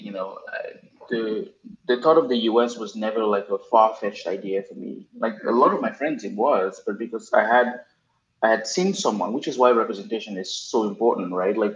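A man in his thirties from Cameroon, a podcast guest telling his story casually, with many ums and restarts. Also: fairly low pitch. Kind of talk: casual interview answers. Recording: roomy, dull remote recording with faint noise.

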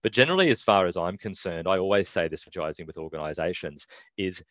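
Very low-voiced male guest, measured, dull and dry-sounding line. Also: no room sound. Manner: measured, explains in steady points. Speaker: guest, recruitment business owner. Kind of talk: casual business chat.

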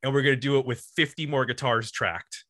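A man co-hosting a music podcast, chatting casually about albums in an animated storytelling way. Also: mid-range voice, a little fast, no room sound, clean studio sound.

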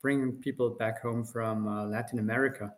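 An Austrian man who speaks English with a German accent, in a medium-pitched, even voice. He answers politely and thoughtfully, often pausing on "uh" as he finds his words. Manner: polite and measured, frequent "uh" pauses. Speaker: company CEO, guest. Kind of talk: interview conversation.

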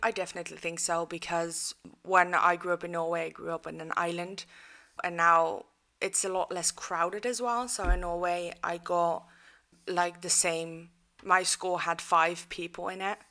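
Woman, 22, from the Netherlands, interview guest, bright, slightly low, chatty. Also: little pitch movement, normal speed. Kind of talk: casual interview answers.

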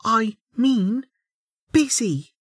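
A man's voice: extremely high pitch, sing-song, slow pace, a bit bright, slightly rough, dry recording, clean studio sound, pitched above the performer's own voice, exaggerated voice. A man voicing a curt, unbothered woman in a fantasy audiobook, speaking in a pointed, emphatic way. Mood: dry, pointed. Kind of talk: audiobook character acting.

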